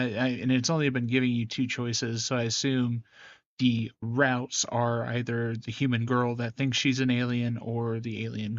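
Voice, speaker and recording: A man's voice: mid-range voice, dull audio. A man giving casual, unscripted game commentary as a host, thinking aloud in a hesitant, noncommittal way. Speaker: gaming channel host doing a visual novel readthrough.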